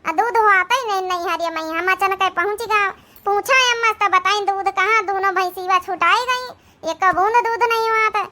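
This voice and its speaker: Woman voicing a very high, plaintive Awadhi young wife. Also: fairly even intonation, moderate pace, sharp tone. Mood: plaintive, explaining. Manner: plaintive, lamenting. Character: worried, plaintive young married daughter, short of money. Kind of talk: cartoon comedy voice acting.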